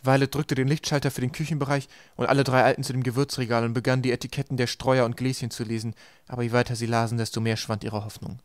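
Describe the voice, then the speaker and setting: Male audiobook narrator: medium pitch, scripted storybook delivery, dry close sound. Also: level tone, fast, dry recording. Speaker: audiobook reader.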